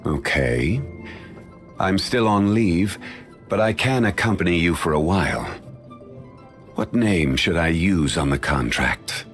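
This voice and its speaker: Game role: an ageless, dignified scholar-gentleman, very deep and unhurried, formal and polite, faint music behind. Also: lively intonation. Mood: calm, formal. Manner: measured, formal and courteous. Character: ancient, dignified man, calm and learned consultant. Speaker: voice actor. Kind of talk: video game voice lines.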